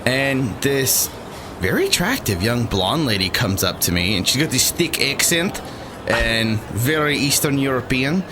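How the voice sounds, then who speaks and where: A man telling a lively anecdote in casual chat, acting out the people in it and laughing often. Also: medium pitch, unhurried. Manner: animated, acts out quoted voices, laughs often. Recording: noisy background.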